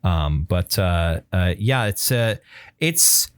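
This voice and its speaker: A man with a fairly low voice, recorded dry, talks casually as a podcast host. His delivery is halting and conversational, often pausing to hunt for the right word. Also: animated, clear, resonant tone, no echo. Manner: halting, frequently searching for words. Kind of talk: casual music discussion.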